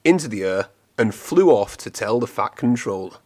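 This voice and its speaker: A man reading a children's storybook aloud in an expressive, clearly phrased storytelling manner. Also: lowish voice, normal speed. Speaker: storybook reader.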